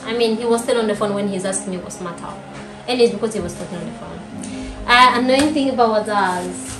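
A woman's voice: medium pitch, fast talker. A woman in her twenties chatting casually with her husband on a sofa at home as a video host. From Rwanda. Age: 20s. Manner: chatty, laughs often, trails off quietly. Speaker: vlogger co-host. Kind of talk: casual chat. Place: living room sofa, indoors. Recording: roomy with background music.

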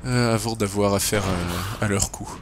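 A man's voice: lowish voice, little pitch movement, moderate pace, over music. A man with a cold giving casual French gameplay commentary, thinking aloud with hesitant fillers and English game terms mixed in. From French-speaking. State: has a cold. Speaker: let's play gamer.

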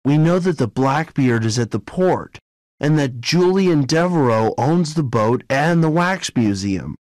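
A man playing a keen young amateur sleuth who takes charge, in deliberate storybook dialogue. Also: fairly high pitch, animated, a little mellow, dry recording. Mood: reasoning. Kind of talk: scripted audiobook dialogue.

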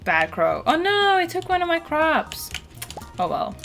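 A woman's voice: very high-pitched, sing-song, measured pace. A young woman from Norway with a Norwegian accent, chatting casually with viewers while playing a farming game at home, full of "like" fillers and the odd swear. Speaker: streamer. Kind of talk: casual chat while gaming. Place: home room, indoors. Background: soft game music.

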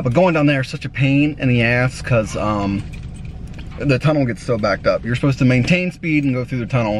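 A man's voice: medium pitch, slight background noise. A man in his twenties chatting casually to camera in a car, his speech slightly impeded by new braces. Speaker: car vlogger. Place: inside a parked or driving car, some outdoors in a parking lot.

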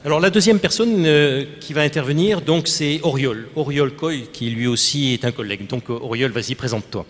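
A man's voice: mid-range voice, brisk, hardly any pauses. An older man moderating a conference panel, formal and wordy, often hesitating and repeating himself. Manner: formal, wordy, many fillers and restarts. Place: conference stage. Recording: reverberant hall.

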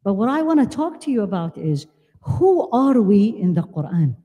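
Older woman preacher, mellow voice in a hall. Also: medium pitch, moderate pace, slightly rough, lo-fi sound. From Iraq. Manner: animated, gesturing, asks the audience questions. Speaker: Islamic lecturer. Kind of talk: religious lecture to a women's conference. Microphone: handheld mic. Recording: long hall reverb.

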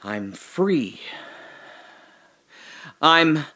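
An actor playing a confused amnesiac man trapped alone in a hole, rambling and swinging between giddy laughter and hushed muttering. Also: on the high side, very animated, very slow, heavily acted. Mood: hushed worry. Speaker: audio drama lead actor. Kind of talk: solo audio drama monologue.

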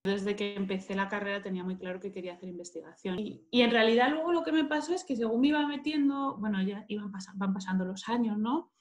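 Female interviewee, thirties, Spain Spanish accent, medium pitch, conversational delivery over a video call. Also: quick pace, hardly any pauses. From Madrid, Spain. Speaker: interviewee, market manager and scientist. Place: indoors, plain-walled room, video call. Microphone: earphone headset on video call.

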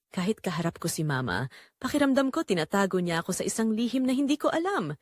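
Woman performing anguished betrayed wife, audio drama narration, confiding, wounded, animated intonation, close dry recording. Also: medium pitch, no echo. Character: Rosa, anguished betrayed wife, doubting her own sanity. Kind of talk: dramatic first-person scripted narration.

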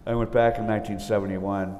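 A deep, dark-toned older man speaks haltingly, with plenty of pauses and fillers. Hall reverb and a faint rumble sit around his voice. He talks like a veteran telling a story in a relaxed way. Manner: halting, folksy. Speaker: panel guest, retired naval officer. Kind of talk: recounting war memories. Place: large auditorium stage.

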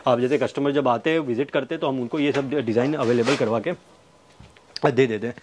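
A man in his thirties giving a sales pitch to camera, listing things with repetitive emphasis. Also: fairly even intonation, fairly quick, background noise. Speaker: fabric manufacturer's representative presenting stock. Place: fabric showroom indoors. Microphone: clip-on wireless lapel mic.

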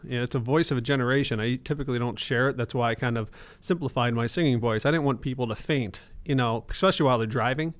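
A man's voice: medium pitch, quick pace, hardly any pauses. A man in his thirties, a financial advisor hosting a radio talk show from a small studio, talking emphatically with jokes. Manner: emphatic and joking. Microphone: studio boom mic with headphones.

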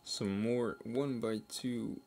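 A man with a creaky, fry-laden voice talks slowly through a casual hobby unboxing. He is hesitant and offhand, trailing off into asides. Plastic crinkles and clicks over faint background noise. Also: lowish voice, steady tone. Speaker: hobby builder and video host. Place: indoors at a wooden table. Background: clicks and crinkling plastic bags.

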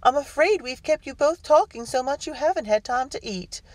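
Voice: high voice, lively intonation, moderate pace, no room sound, pitched above the performer's own voice. A storyteller voicing a fussy, anxious, very polite colonial mother in an audiobook, with a proper, exclamatory manner. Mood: nervously polite.

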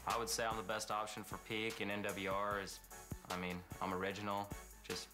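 Laid-back young male contestant, very deep, monotone. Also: fairly quick, music in the background. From Kansas.

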